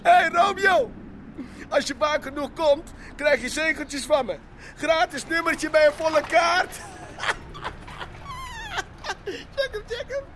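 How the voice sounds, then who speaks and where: Radio drama: jeering crude pimp, very high voice, wildly animated delivery, music behind. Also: male voice, a little slow, a little hiss or hum, full character voice. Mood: gleeful jeering. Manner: mocking, jeering.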